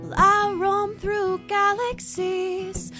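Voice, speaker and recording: A woman plays a cheerful little space cowgirl singing a children's song. The voice is very high and slow, carried by loud plucked-string music. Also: little pitch movement, heavily acted. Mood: playful, cheerful. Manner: drawn-out, singsong.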